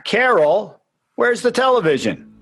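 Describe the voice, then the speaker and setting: An actor playing an aggrieved, sentimental ex-husband and sports fan in a radio play, speaking plaintively. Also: male voice, high voice, very animated, measured pace, gravelly, fairly bright tone, breathy edge, soft background music, full character voice. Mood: indignant.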